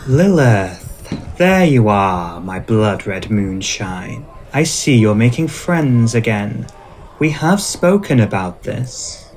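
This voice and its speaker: A man playing a suave, cautious male vampire who speaks in a measured, gently scolding way in an ASMR roleplay. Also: on the low side, big pitch swings, slight background noise.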